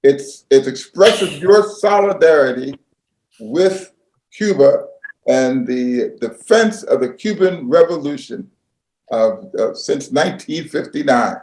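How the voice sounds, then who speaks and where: A man makes a fundraising appeal on a video call, speaking very slowly with a somewhat high voice. He is encouraging and laughs easily. The room around him is echoey. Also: expressive, a little mellow. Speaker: fundraising organizer.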